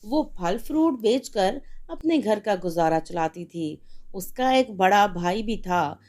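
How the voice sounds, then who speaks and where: Casting: female narrator for children's stories, scripted read, medium pitch, slow pace. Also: expressive, darkish tone. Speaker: storyteller.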